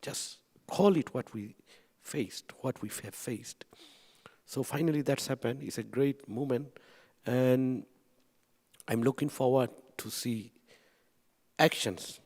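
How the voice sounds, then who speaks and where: A man from western Burma gives impassioned public testimony in halting, emphatic English. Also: medium pitch, a little mellow, breathy edge. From Rakhine State, western Burma. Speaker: activist speaker at a commemorative event. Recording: echoey hall sound.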